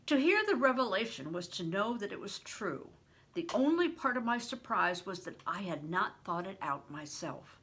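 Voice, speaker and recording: An older woman with a breathy voice, reading a novel aloud and giving the characters voices of their own. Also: medium pitch, very animated, moderate pace, a bit bright. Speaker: reader.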